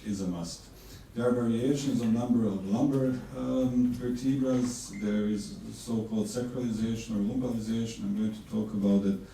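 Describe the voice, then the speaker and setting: A man from Bosnia and Herzegovina, a neurosurgeon giving a measured course lecture with many fillers and restarts. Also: lowish voice, dark tone. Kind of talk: conference lecture. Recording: distant mic in an echoey hall with faint noise.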